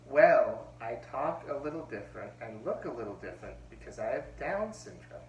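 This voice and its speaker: A man gives voice to a kind young grocery bagger in a children's storybook, calm and gentle. His lively read-aloud voice sounds distant, with a roomy echo and a faint hum behind. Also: medium pitch, normal speed, natural voice.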